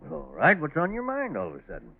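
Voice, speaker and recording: An actor playing a genial, neighborly middle-aged farmer, folksy and hesitant, in 1940s radio drama style. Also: male voice, fairly high pitch, huge pitch swings, fast talker, vocal fry, slightly rough, full character voice. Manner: folksy, hemming and chuckling. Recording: muffled old 1940s radio recording.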